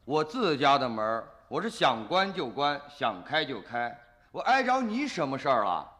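A man's voice: medium pitch, very animated, normal speed, a bit bright, in a large hall. A man playing a hot-headed, defiant farmer son in a radio drama, blustering and sarcastic. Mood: surly, sarcastic.

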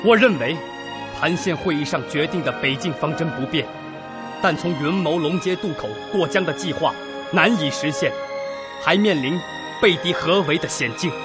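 An actor playing a sober, reasoning army leader in a radio drama. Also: male voice, a little high, moderate pace, over music, natural voice. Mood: grave, considered. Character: sober Red Army leader weighing battle plans. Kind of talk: Chinese radio drama acting.

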